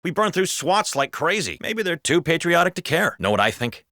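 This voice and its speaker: An actor playing a jaded, foul-mouthed, sardonic police officer in a video game. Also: male voice, fairly high pitch, big pitch swings, moderate pace, pushed and bright, no echo, clean studio sound, exaggerated voice. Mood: wry, gossipy. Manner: gossipy, sardonic, swearing. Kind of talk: scripted video game voice acting.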